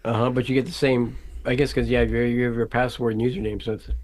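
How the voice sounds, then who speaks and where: A man's voice: medium pitch, level tone, quick pace, a little mellow. A man hosting a casual video call chat, giving short encouraging replies and asking simple questions.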